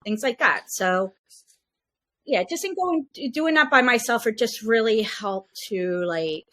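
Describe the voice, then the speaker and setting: A woman's voice: a little high, lively intonation, a little slow, bright, forceful tone. A woman on a podcast, casually recounting a trip in a rambling way with many fillers and restarts. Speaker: podcast guest. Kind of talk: casual storytelling.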